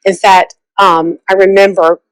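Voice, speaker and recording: A woman's voice: medium pitch, little pitch movement, clear, resonant tone, dry recording. An older woman from Charleston, an author introducing herself in a big voice with many fillers. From Charleston, South Carolina. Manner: slow, loud, with many fillers. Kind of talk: book talk introduction.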